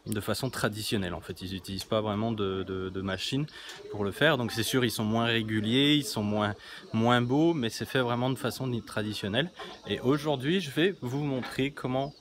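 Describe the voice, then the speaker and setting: A man's voice: mid-range voice, normal speed, fairly bright tone. A man in his thirties, filming himself with a phone at arm's length outside a small village workshop, explaining things casually with lots of fillers and restarts. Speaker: travel vlogger. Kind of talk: casual explanatory vlog narration. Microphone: phone camera at arm's length. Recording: noisy outdoor phone recording.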